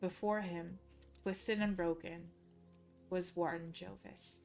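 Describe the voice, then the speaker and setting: A Dutch woman, a native Dutch speaker, reading game lore aloud as a hushed, measured, suspenseful story. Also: lowish voice, steady tone, gravelly, faint music behind. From Netherlands.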